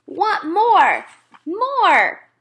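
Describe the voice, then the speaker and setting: Woman acting toddler brother, high-pitched, bright, insistent, emphatic, simple speech, children's storybook. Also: wildly swooping pitch, extremely slow, heavily acted. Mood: demanding. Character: loud, demanding little brother, a toddler boy. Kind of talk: children's storybook read-aloud.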